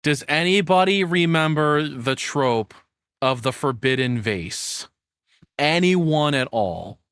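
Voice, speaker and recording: A man's voice: fairly high pitch, expressive, slow pace, fairly bright tone, clear, resonant tone, no echo, clean studio sound. A man giving casual reaction commentary as a streamer, rambling informally and searching for words.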